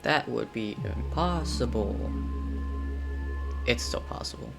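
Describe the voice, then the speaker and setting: A man's voice: medium pitch, lively intonation, slow pace, creaky. A young man casually reacting to a game as a streamer, slangy and sweary, with muttered asides. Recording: loud game music behind the voice. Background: game music.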